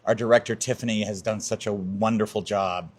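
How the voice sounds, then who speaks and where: A man's voice: on the low side, fairly even intonation, fairly quick. Older man chatting in an interview. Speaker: community theatre actor, interview guest. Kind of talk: casual interview chat.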